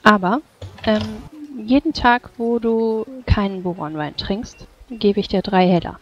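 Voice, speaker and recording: A woman playing a tabletop game, improvising in character in a gentle, coaxing, hesitant way. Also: mid-range voice, unhurried, lo-fi sound. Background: faint music.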